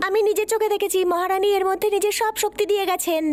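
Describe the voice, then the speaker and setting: A woman's voice: very high voice, steady tone, racing, a little hiss or hum. A woman voicing an eager cat servant devoted to a villain king in a cartoon dub, speaking deferentially. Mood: earnest, deferential.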